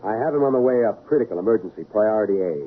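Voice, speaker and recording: An actor playing a blunt, anxious doctor in an old radio drama, speaking in excitable, clipped sentences. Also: male voice, fairly low pitch, rapid-fire. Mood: urgent. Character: urgent, outspoken male doctor, blunt anxious colleague. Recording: narrow, muffled old radio recording.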